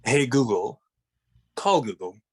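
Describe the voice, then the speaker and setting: A man playing a haughty, intellectual thirty-year-old detective in an animated comedy table read, with formal phrasing. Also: mid-range voice, slow, husky, exaggerated voice. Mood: exasperated.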